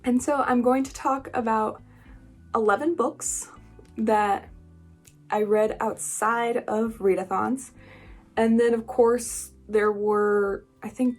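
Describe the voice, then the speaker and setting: A woman's voice: fairly high pitch, darkish tone. A woman hosting a book vlog, talking in a casual, chatty style with informal, emphatic asides. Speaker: book reviewer and channel host. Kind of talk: casual chatty video monologue.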